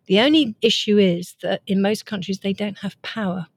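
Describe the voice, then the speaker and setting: A woman's voice: medium pitch, moderate pace, husky, no echo. Woman explaining in a dry close recording. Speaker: author and guest. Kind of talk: explanatory interview talk.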